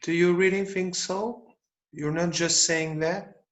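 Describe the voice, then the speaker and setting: Man playing higher-pitched, blunt young boy. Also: fairly even intonation, a little slow, fairly bright tone. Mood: wistful. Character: cheeky, wistful young boy. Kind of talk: amateur musical read-through.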